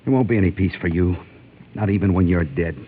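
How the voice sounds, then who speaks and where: A menacing Western gunman in a radio drama. His voice is low and rough, with a folksy, threatening drawl. The old recording sounds narrow and phone-like. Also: fast. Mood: vengeful, taunting. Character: menacing, vengeful Western gunman, grieving man.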